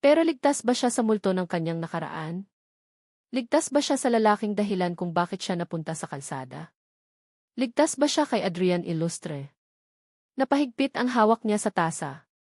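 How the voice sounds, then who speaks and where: A woman's voice: medium pitch, very animated, normal speed, darkish tone, no room sound. Woman narrating a drama in a dry, close sound. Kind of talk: scripted storytelling narration.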